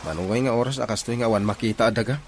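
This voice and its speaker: A man's voice: medium pitch, rapid-fire, background noise, lightly acted. A man with an Ilocano accent playing an uneasy, questioning seaman in a radio drama. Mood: curious, uneasy.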